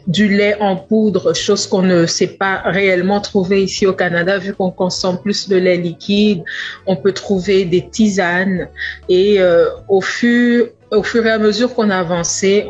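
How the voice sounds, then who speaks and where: A young woman from the Democratic Republic of the Congo with a Congolese accent, giving a measured personal testimonial with some halting repeats. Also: medium pitch, darkish tone, husky. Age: young adult. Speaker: entrepreneur, grocery store owner. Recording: dull lo-fi sound, faint music.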